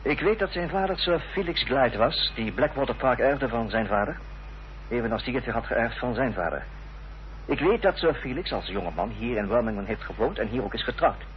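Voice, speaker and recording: Man acting a determined gentleman, muffled old recording. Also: mid-range voice, expressive, normal speed, close to an everyday voice. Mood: firm, insistent. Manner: formal, insistent, earnest. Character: determined, formal gentleman confronting an enemy's accomplice. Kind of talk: radio drama acting. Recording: dull, noisy old radio-play recording with hum.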